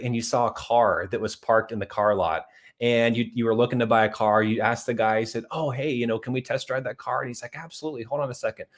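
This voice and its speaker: Earnest man preaching money and faith. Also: mid-range voice. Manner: earnest, conversational, with many asides to "guys"; acts out little dialogues and tells anecdotes. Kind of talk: motivational video talk to viewers.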